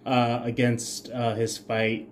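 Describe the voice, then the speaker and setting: A man co-hosting a podcast, telling stories conversationally in a halting, informal way with casual swearing. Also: medium pitch, monotone, a little hiss or hum. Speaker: podcast co-host.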